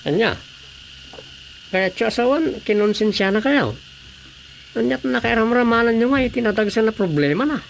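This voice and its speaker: A woman's voice: on the high side, animated, normal speed, vocal fry, pauses often, a little hiss or hum, poor audio. A woman acting a no-nonsense associate of a village chairman in a radio drama, questioning and challenging.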